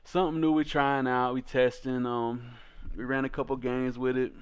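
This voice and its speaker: A man's voice: medium pitch, level tone, moderate pace, clear, resonant tone. A man hosting a card game channel, talking through a deck in a casual, informal way with slangy turns of phrase.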